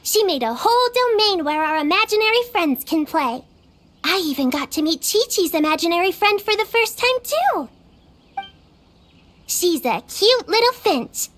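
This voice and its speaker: Nurturing little girl, very high pitch, lively melodic delivery, gently bossy caretaker, video game, music underneath. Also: a little hiss or hum, heavily acted.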